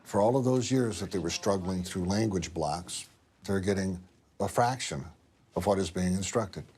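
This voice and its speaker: A man's voice: low voice, normal speed, darkish tone, breathy edge. A man, a school superintendent, explaining policy in an interview in a firm, emphatic way.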